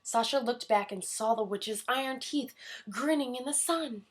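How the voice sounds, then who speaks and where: A woman's voice: fairly high pitch, moderate pace. Woman reading a children's story aloud. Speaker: storybook reader.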